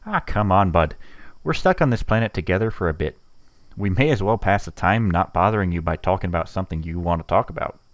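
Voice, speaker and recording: Easygoing mercenary played by a man, lowish voice. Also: animated, brisk, no echo, clean studio sound. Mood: teasing. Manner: casual, teasing, slangy. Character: easygoing working-man mercenary, family father. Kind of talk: sci-fi audio drama acting.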